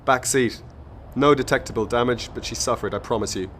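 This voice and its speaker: Man playing cold male agent, medium pitch, clipped, deadpan, ruthless, radio drama. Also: fairly quick, slight background noise, lightly acted. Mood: cold, flat.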